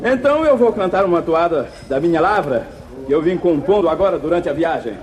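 An actor playing a likable, loyal wandering singer, polite but firm, in a 1950s radio serial. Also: male voice, on the high side, animated, brisk, a little mellow, natural voice. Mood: composed.

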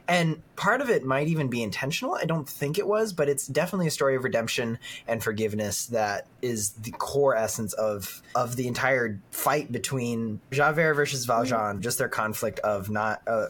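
Man, podcast host, casual, halting, thinking aloud, mid pitch, faint background noise. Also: fairly bright tone. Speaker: podcast host leading the discussion. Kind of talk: casual discussion.

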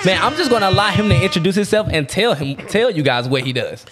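A man's voice: high-pitched, lively intonation, fairly bright tone, faint music behind. Hyped-up slangy podcast host, man. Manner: hyped, slangy, quick to laugh. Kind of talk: casual podcast chat.

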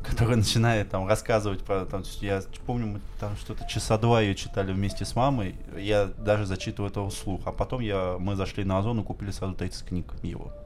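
A man's voice: on the low side, a little mellow. A man co-hosting a podcast in casual chat, with a burred R in his speech. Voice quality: burred, non-rolled R. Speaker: podcast co-host. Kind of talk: casual banter, book chat.

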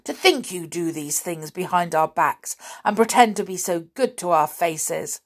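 A woman's voice: on the low side, big pitch swings, slow pace, gravelly, darkish tone, breathy edge, exaggerated voice. A woman voicing a stern, angry father in a storybook tale, scolding firmly.

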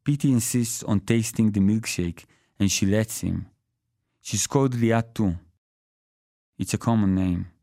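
A man reads his own short fiction in the first person. His voice is fairly low and very mellow, and the recording is dry, with no room around it. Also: a little slow, no room sound. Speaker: author reading his own story. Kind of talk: literary first-person story reading.

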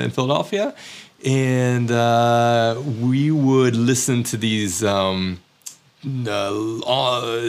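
Man, American accent, mid-range voice, reflective monologue, candid, self-deprecating, hesitant. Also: mid-range voice. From United States. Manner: candid, self-deprecating, many fillers and restarts. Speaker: teacher living abroad.

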